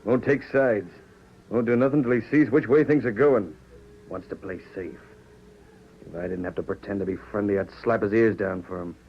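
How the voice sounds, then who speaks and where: Man playing a gruff, surly outlaw boss. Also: lowish voice, normal speed, lightly acted. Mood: irritated. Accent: American. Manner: terse, gruff. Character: surly, scheming Western outlaw boss, short-tempered. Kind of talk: 1940s Western radio acting. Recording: muffled, noisy old radio recording.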